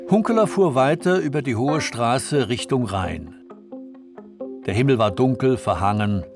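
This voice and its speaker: A man's voice: mid-range voice, expressive, a little slow, over music. A man reading scripted third-person narration for a radio drama.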